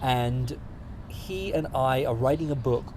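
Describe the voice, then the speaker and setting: A young British man speaks as an interview guest, articulate and enthusiastic with a dry, wry edge. His voice sits at an ordinary pitch for a man. The recording carries clear traffic noise behind him. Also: a little slow. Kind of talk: casual interview conversation. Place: studio in front of cameras.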